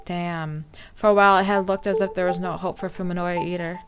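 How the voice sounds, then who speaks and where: Low-voiced woman reading a game aloud. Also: flat delivery, fast, background noise. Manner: informal, stumbles while reading. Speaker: let's play host. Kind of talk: reading visual novel aloud with casual asides. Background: game music.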